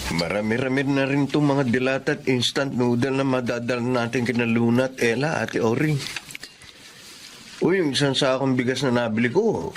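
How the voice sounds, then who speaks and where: A man's voice: medium pitch, normal speed, background noise, close to an everyday voice. A man playing a loyal, protective younger brother and uncle in a Filipino melodrama, speaking earnestly. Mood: grateful, earnest. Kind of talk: Filipino melodrama audio acting.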